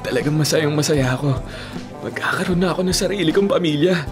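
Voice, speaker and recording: An actor with a Filipino accent playing a devoted, soft-hearted young husband in a radio drama, speaking earnestly and gently. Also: male voice, a little high, fast, natural voice. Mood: overjoyed, tender. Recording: music bed behind dialogue.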